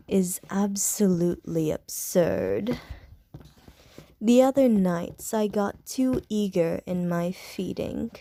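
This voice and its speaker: A woman voicing a short, flirtatious female vampire in an ASMR roleplay, speaking softly in a half-whisper and teasing with innuendo. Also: on the low side. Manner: soft, half-whispered, intimate delivery.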